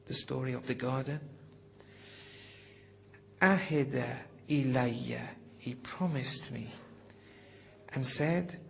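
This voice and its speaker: A man delivering a devotional lecture, reading Arabic passages and explaining them, speaking slowly and deliberately and sometimes dropping to a whisper for emphasis. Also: medium pitch, gravelly, a little hiss or hum. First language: not Farsi (Farsi is his second language). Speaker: religious lecturer.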